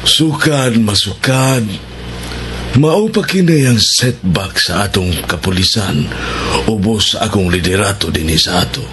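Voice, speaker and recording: An actor playing a solemn, deliberate police chief in a radio drama. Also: male voice, fairly low pitch, expressive, background noise. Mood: grave. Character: grave male police chief leading the force. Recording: loud music bed.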